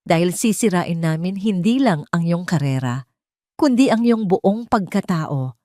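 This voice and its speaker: A woman voicing a cold, imperious elderly rich matriarch who threatens calmly in an audio drama. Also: fairly low pitch, unhurried, dry recording, clean studio sound. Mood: menacing. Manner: commanding, unflinching.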